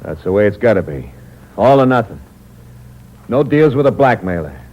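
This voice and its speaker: An actor playing a cold, hard-boiled impostor who narrates the story in a terse, clipped manner, in a 1950s radio drama. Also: male voice, fairly low pitch, very animated, normal speed, darkish tone. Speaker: narrator and lead. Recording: muffled old radio recording with hiss.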